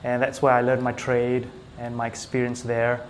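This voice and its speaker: A man from Singapore chatting casually as an interview guest, with hesitant, halting phrasing. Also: mid-range voice, completely flat delivery, low-quality recording. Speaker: small business owner, IT technician, interview guest.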